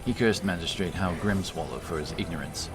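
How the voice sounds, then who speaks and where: A man's voice: low voice, brisk. A man narrating a fantasy story in a dramatic, scripted audiobook style. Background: action music.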